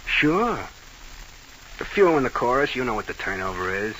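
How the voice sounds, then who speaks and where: An actor playing a sly nightclub manager under a gangster, needling with feigned innocence and dry sarcasm in an old-time radio drama. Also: male voice, mid-range voice, very animated, moderate pace, a bit bright, poor audio. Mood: evasive. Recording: hissy vintage radio recording.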